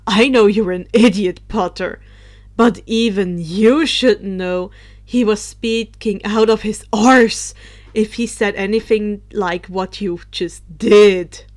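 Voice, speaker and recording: A woman's voice: mid-range voice, fairly even intonation, slow pace, a little mellow, pitched below the performer's own voice, close to an everyday voice. A woman voicing a brash, exasperated male Dementor creature in a fan fiction reading, blunt and scornful.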